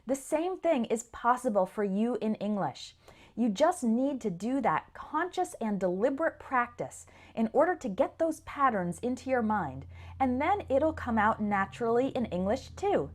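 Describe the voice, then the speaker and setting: Animated, high-voiced woman teaching. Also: normal speed. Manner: clear, explanatory, addresses learners directly. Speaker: English teacher. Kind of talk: instructional lesson to camera or listeners.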